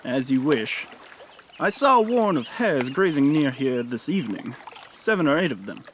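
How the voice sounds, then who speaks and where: An actor playing a prim, dutiful elf hunter who lectures formally in a fantasy audio drama. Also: male voice, mid-range voice, sing-song, normal speed, fairly bright tone, slight background noise. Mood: haughty.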